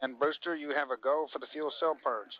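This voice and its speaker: A man's voice: a little high, fairly even intonation, brisk, a little hiss or hum. Male spaceflight controller, courteous clipped procedural delivery, heard over muffled vintage radio. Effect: radio transmission. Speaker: mission control radio communicator. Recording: muffled lo-fi 1980s radio link.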